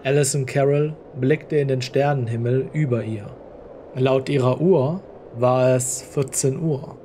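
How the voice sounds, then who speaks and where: A male narrator reads a scripted story. His voice is mid-pitched with a warm, mellow tone. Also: a little slow, a little hiss or hum.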